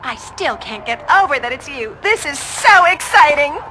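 An actress playing a sweet, bubbly suburban housewife in a video game, with a homely, cheerful manner. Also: female voice, high-pitched, animated, normal speed, pushed and bright, in a large hall, a little hiss or hum, low-quality recording. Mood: chirpy. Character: chirpy, sweet suburban housewife drug expert.

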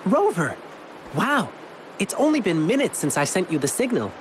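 A man voicing an energetic, earnest young adventurer in a video game. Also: high voice, normal speed, noisy background. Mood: excited.

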